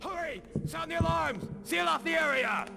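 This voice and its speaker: An actor playing a panicked guard, barking urgent alarms in a gravelly voice. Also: male voice, very high-pitched, animated, normal speed, over music, heavily acted. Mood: panicked, shouting. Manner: urgent, barked exclamations. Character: panicked guard raising the alarm in a video game.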